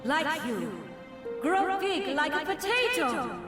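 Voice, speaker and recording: Gentle mother, slow, distant and echoing, nurturing, sing-song, video game acting. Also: sits high, very animated, over music, exaggerated voice. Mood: tender. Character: doting country mother, gentle and encouraging.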